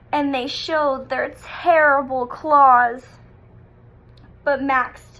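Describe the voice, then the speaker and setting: A woman in her thirties reading a children's picture book aloud in a deliberate, expressive storytelling manner. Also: high voice, rough recording.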